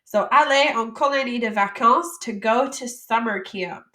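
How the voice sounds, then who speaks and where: A woman teacher from the United States walks students through French vocabulary, pronouncing each word with care before chatting about it in relaxed English. Her voice is bright and on the high side. The sound carries some echo from the room. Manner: reads French words slowly, then explains in casual English. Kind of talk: vocabulary lesson.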